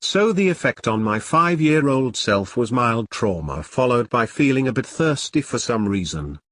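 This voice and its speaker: A man's voice: medium pitch, very animated, moderate pace, a bit bright. A British man reading a scripted review in a dry, sardonic, sweary manner.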